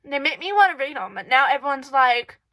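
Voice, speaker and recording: Woman, stuffy nose, congested, chatty rambling vlog host, lots of fillers, high voice, bright tone. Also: big pitch swings, brisk. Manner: rambling, full of "um", "like" and "honestly". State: stuffy, congested from crying and a cold. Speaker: channel host. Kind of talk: casual advice vlog. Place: her bedroom. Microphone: camera in her room.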